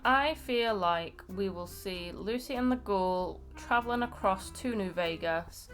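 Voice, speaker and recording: A woman chats casually as a co-host. She is blunt and sweary, with a mid-range pitch. Quiet music runs underneath. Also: unhurried. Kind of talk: casual chat.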